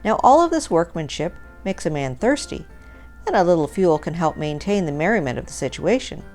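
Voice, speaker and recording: A woman with a low voice narrates a scripted, lighthearted story. She reads aloud as a storyteller, with music playing behind her. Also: sing-song, fairly quick, darkish tone.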